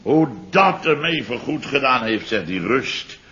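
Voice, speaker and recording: A blustering, rambling businessman who hunts for every word and blows each story up comically. His voice is bright and lively and swoops as he talks. The sound is dull and faintly hissy, like an old radio play. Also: mid-range voice, measured pace. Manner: rambling, searches for words, comic exaggeration. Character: rambling muddled middle-aged businessman, Mr Biels. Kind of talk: 1960s radio play acting.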